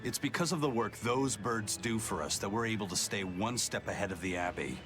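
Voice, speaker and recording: A steady, serious pirate played by a man with a lowish, gruff voice. He speaks in a measured, proud way, as in anime game dialogue, with music clearly behind. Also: close to an everyday voice. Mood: firm, serious. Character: stern, steady, proud male pirate first mate. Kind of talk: anime-style video game acting.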